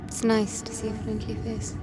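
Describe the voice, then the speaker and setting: A woman's voice: on the high side, monotone, moderate pace, noisy background, close to an everyday voice. A woman playing a determined, defiant young heroine in an action adventure game, direct and resolute. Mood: guarded, accusing. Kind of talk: video game cutscene acting. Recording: loud music behind the voice.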